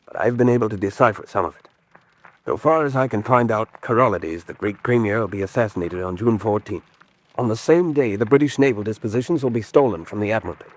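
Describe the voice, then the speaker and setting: A man's voice: lowish voice, quick pace, close to an everyday voice. A desperate spy on the run, played by a male actor in a radio drama. He is a hunted American man who speaks with urgent, dramatic intensity, as if begging to be believed.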